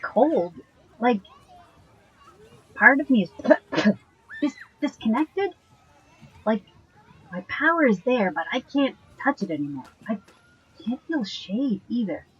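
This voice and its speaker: A woman's voice: fairly high pitch, very animated, slow pace, pauses often, fairly bright tone, slightly rough, highs cut off, exaggerated voice. A woman playing an earnest, tender magic-user in an audio drama, speaking in a hesitant way. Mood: shaken.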